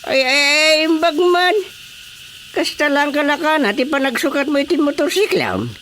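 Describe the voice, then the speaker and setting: A woman's voice: high voice, sing-song, moderate pace, fairly bright tone. A woman acting an easygoing but fretful relative in an Ilocano radio drama comedy. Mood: fretting. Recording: faint background noise.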